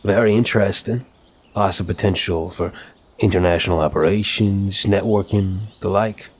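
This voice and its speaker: A man playing an apologetic, flirtatious mafia boss in an intimate ASMR roleplay, speaking in a courteous, teasing, slightly halting way. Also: deep voice, husky. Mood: flirtatious, relaxed.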